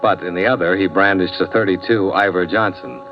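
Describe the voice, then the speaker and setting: Man acting low voiced hard-boiled insurance detective, dry and wry, old radio drama, dull muffled sound. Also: brisk, lightly acted. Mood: wry, somber. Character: wry, self-assured male freelance insurance investigator. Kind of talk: 1950s radio drama acting, first-person noir narration. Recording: dull vintage radio recording, faint music.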